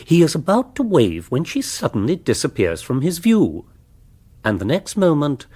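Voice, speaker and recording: A man's voice: fairly high pitch, wildly swooping pitch, normal speed, warm tone, husky. A man with an English accent reading scripted, wry narration for a radio drama.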